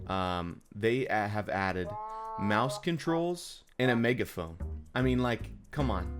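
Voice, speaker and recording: A man giving a casual, unscripted gaming news commentary in an exasperated, incredulous and slangy way, leaning hard on his points. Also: mid-range voice. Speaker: gaming video creator.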